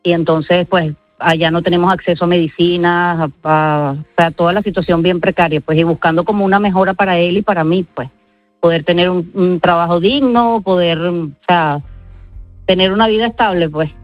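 Halting Venezuelan woman on a dull, dry line. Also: on the low side, steady tone, no room sound, faint music behind. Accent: Venezuelan Spanish. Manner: halting, many fillers. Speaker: interviewee. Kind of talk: live news interview. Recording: dry, slightly dull audio.

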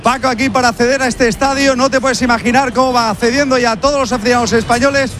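A man's voice: very high voice, fairly even intonation, quick pace. A man with a Spanish accent giving a live match-day report as a radio sports reporter. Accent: Spanish (Spain).

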